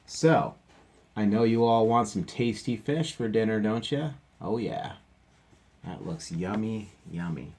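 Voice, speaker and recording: A man teacher reading a picture book aloud to children, stopping for gentle chatty asides. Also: fairly low pitch, measured pace, a little hiss or hum.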